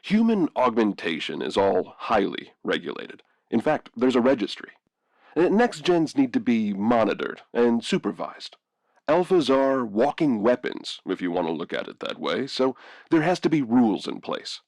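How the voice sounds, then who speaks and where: A gravelly slick corporate CEO. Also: male voice, medium pitch, very animated, a little mellow, no room sound. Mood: assured. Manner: measured, reassuring corporate spin. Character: smooth corporate male CEO, guarded and controlling. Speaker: CEO on a TV interview.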